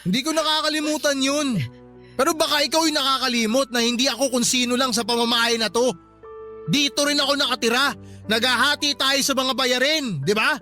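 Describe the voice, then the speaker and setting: An actor playing a drunken, defensive young boyfriend in a Filipino radio drama, taunting and dismissive, with a Filipino accent. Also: male voice, extremely high pitch, fairly even intonation, brisk, bright, forceful tone, soft background music, exaggerated voice. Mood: irritated, dismissive. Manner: taunting, dismissive, blame-shifting.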